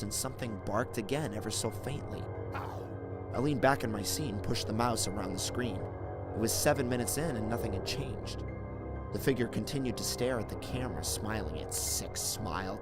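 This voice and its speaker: A man reading a scripted first-person horror story in a suspenseful, theatrical way. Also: lowish voice. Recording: narration over clearly audible background music. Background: background music.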